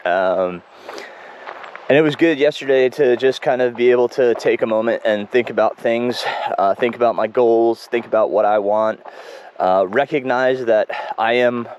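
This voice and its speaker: A man speaking in a casual running video diary, congested and froggy, reflective and halting with many ums and restarts. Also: mid-range voice, darkish tone, a little hiss or hum. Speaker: running vlogger. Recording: dull lo-fi home recording.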